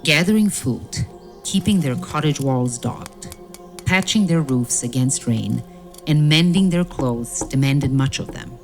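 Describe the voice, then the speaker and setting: A woman narrating a fairy-tale audio play in a measured, solemn storytelling manner. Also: very deep voice, expressive, exaggerated voice. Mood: gentle storytelling. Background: music.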